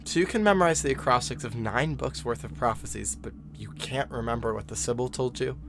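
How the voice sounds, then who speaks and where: With clear noise in the background, a bookish young student played by a man speaks at a middling male pitch. He is insistent and controlling, pressing his point in audio drama style. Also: fairly quick, close to an everyday voice. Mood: accusing. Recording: noticeable background noise and ambience.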